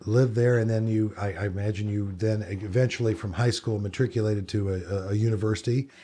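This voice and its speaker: A man's voice: fairly low pitch, steady tone, brisk, dark tone. A man hosting a podcast, reading a polished introduction and then asking easygoing questions. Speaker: podcast host. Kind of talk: scripted intro, then casual interview.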